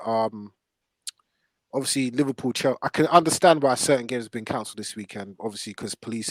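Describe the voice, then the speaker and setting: A man's voice: mid-range voice, no room sound. A man hosting a casual football discussion in slangy, emphatic speech. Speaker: podcast host.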